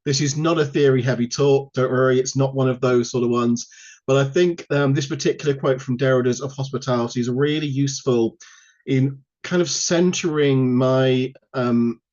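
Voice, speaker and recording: Male academic speaker, mid-pitched, informal and self-deprecating, delivering an online lecture over slightly muffled lo-fi audio. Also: fairly even intonation, moderate pace, a little mellow. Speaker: university lecturer, guest speaker. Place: online video call. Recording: dull, lo-fi online call audio.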